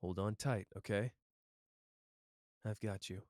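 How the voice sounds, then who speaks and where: A man playing a powerful, cocky but wounded sorcerer in an intimate audio roleplay, talking casually and teasingly straight to the listener with pauses. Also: on the low side, fairly even intonation, a little mellow, no room sound, studio quality. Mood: guarded, reassuring.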